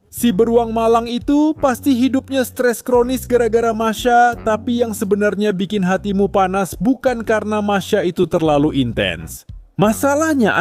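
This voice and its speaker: A man's voice: high-pitched, darkish tone. A man giving comic, sarcastic scripted narration with an exaggerated ranting delivery.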